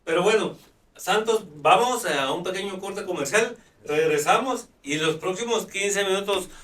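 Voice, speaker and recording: A man's voice: high voice, normal speed, a bit bright. A man hosting a live talk show, chatting with many fillers and restarts. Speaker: host. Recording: roomy, distant mic.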